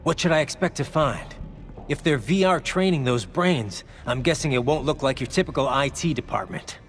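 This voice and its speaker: A man's voice: mid-range voice, animated, normal speed, slightly rough, faint music behind. An actor playing a hardened cyborg swordsman in a game cutscene, terse and matter-of-fact. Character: hardened cyborg swordsman, adult man, blunt. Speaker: video game protagonist.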